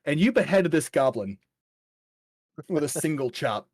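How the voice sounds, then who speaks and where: A man's voice: on the high side, sing-song, a little slow, a little mellow, slightly rough, no echo, studio quality. A man narrating a tabletop game as game master in a casual, chatty, colorful and informal way.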